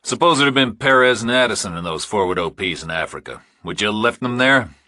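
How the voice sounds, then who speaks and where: A man's voice: on the low side, expressive, quick pace, pushed and bright, no room sound, studio quality. A man playing a laconic, unflappable tough investigator, matter-of-fact, in an audiobook thriller. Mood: calm, assured.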